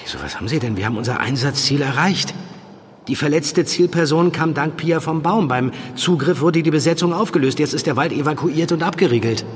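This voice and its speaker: An actor playing a harried, loyal police handler in a fiction podcast, defensive, hesitant and earnest. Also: male voice, a little high, a little fast, natural voice. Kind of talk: naturalistic fiction podcast acting in German. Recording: reverberant room with faint background noise.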